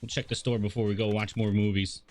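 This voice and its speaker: A man's voice: fairly low pitch, little pitch movement, fast talker, darkish tone. A man livestreaming a game in a casual, irreverent way, slangy and sweary as he reads dialogue and reacts. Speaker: streamer. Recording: game music behind the voice.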